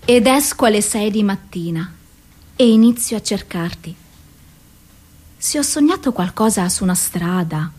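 An actress in a radio theatre monologue, playing a despairing, depressed woman who speaks slowly and intensely, bitter and raw. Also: medium pitch, a little mellow, husky. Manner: slow, intense, bitter delivery with heavy pauses.

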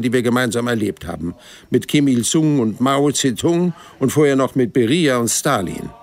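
An actor playing a wry, stubborn hundred-year-old man who narrates his life in a dry, deadpan way in an audio drama. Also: medium pitch, vocal fry. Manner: dry, deadpan, matter-of-fact storytelling.

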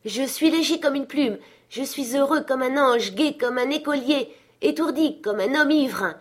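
A woman's voice: a little high, normal speed, darkish tone, lightly acted. A woman voicing a giddy, reborn old miser in an exclamatory, breathlessly exuberant storybook reading. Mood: giddy joy.

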